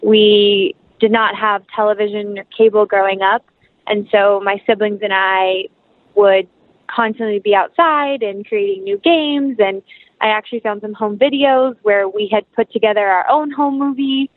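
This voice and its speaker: A woman's voice: mid-range voice, steady tone, normal speed, no room sound, phone-quality sound. A young American woman chatting enthusiastically and casually as an interview guest. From North Carolina, United States.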